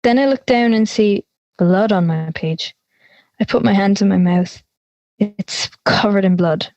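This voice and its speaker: A woman's voice: mid-range voice, a little slow, darkish tone, dry recording, dull audio, lightly acted. A young woman acting an anxious schoolgirl in an audio drama, confiding in a nervy storytelling way. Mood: uneasy. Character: anxious schoolgirl confiding her dreams and fears. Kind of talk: audio drama monologue and dialogue.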